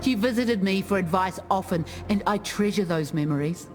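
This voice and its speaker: A woman playing a proud, wise ancestral chieftain in a video game, speaking in measured, dignified storytelling. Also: mid-range voice, over music, natural voice. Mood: calm, wise. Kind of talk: scripted game character monologue.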